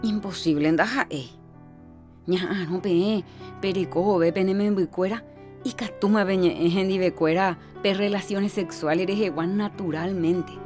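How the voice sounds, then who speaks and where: A woman hosting a health radio program, explaining calmly and playing a counselor in its drama. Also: mid-range voice, a little slow. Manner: calm, explanatory. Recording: radio program with background music.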